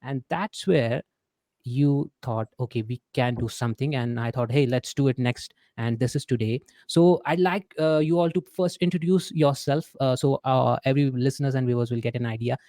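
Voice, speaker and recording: A male podcast host introduces his guests in a chatty, meandering way, leaning on fillers as he goes. His voice is mellow and dark. It sounds dry and close, with no room sound. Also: mid-range voice, normal speed, dry recording. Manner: chatty, rambling with many fillers. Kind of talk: casual interview chat.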